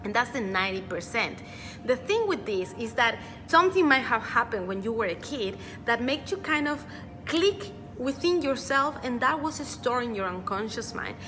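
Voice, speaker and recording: A woman giving an earnest motivational talk as a personal development coach. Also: fairly high pitch. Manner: earnest, repeats words for emphasis. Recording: reverberant room with faint music.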